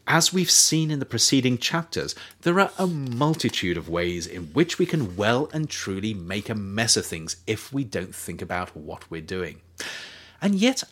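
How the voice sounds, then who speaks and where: A man with a fairly low voice narrates a non-fiction book as its author. His pitch moves widely and lively across each sentence, and he phrases things in a measured, emphatic way with pointed pauses. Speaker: author reading own book as audiobook. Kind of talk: scripted narration, expository reading.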